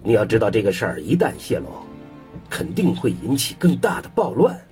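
Authoritative leader in an audio drama, careful type, low voice that swings a lot. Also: male voice, big pitch swings, fairly quick, darkish tone, soft background music. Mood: cautious. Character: authoritative, cautious male command-centre leader. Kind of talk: Chinese sci-fi radio drama acting.

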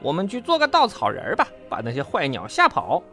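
A storyteller voicing an excitable, caring young animal in a children's storybook, eager and exclaiming. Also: medium pitch, a little fast, faint music behind, voice pushed up, heavily acted. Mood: eager, cheerful.

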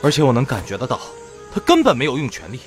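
A man's voice: mid-range voice, wildly swooping pitch, quick pace, faint music behind. A man playing a dejected young warrior in an audio drama, speaking dramatically. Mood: despairing.

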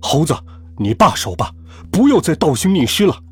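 A tiny father in a storybook speaks up sternly and righteously, or whispers low and urgent. A man voices him with a slightly husky tone. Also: wildly swooping pitch, moderate pace, heavily acted. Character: earnest tiny father hiding in a pocket.

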